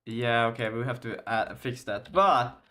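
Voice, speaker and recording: A man's voice: medium pitch, unhurried, sharp tone. A young man with a Finland-Swedish accent, a native Swedish speaker, chatting casually on a live coding stream and rambling with frequent restarts and laughter. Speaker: game developer streaming.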